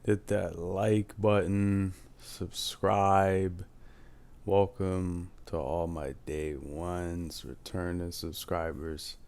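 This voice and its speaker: A man's voice: low voice, level tone, very slow, a little mellow. A man in his twenties talking casually and candidly, giving life advice and often adding "man". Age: 20s. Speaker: advice channel host.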